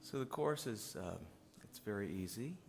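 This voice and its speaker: A man teaching a short song at a synagogue service, speaking easygoing asides and singing each line slowly for others to repeat. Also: fairly low pitch, expressive. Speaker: song leader. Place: synagogue sanctuary. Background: guitar.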